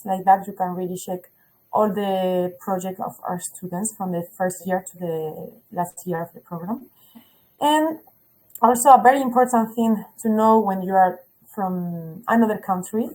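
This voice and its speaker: Brief: woman, hesitant school rep, mid-pitched, filler-heavy webinar English. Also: darkish tone, rough recording. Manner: hesitant, many fillers, non-native English. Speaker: school representative. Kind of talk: webinar presentation.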